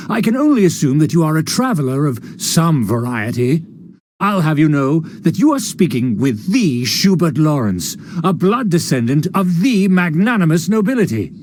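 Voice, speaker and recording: A man's voice: fairly high pitch, a little mellow, no echo. An actor playing an arrogant noble man who speaks in a haughty, condescending way. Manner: haughty, condescending, drawn-out speech. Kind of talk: video game voice acting.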